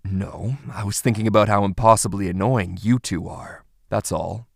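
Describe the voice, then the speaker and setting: Man acting as sullen young anime kidnapper, very low gravelly voice, flat, blunt, weary, guarded. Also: moderate pace, no room sound, studio quality. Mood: sullen, irritated. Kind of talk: anime fan dub acting.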